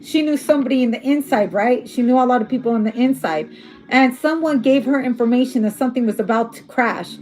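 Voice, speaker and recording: A woman's voice: fairly high pitch, brisk, darkish tone, low-quality recording. Chatty woman reading tarot, losing her voice. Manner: chatty, blunt, swears freely. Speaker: tarot reader. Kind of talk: casual tarot reading to camera.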